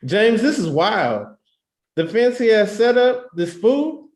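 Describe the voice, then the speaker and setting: A man playing an excitable, positive friend in his early thirties in a screenplay table read, slangy and enthusiastic. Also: high-pitched, slow, reverberant, heavily acted. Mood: excited.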